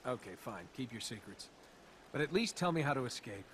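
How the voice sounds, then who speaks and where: A man's voice: mid-range voice, expressive, brisk, a little hiss or hum, lightly acted. An actor playing a sarcastic young male adventurer who quips his way through danger. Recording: over loud game music.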